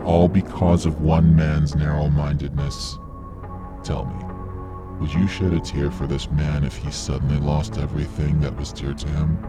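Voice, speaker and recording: Radio-play villain, played by a man: calculating serial killer, extremely low voice, menacing lecturer, music underneath. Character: cold, calculating, self-righteous male villain, a vigilante serial killer. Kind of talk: radio drama acting.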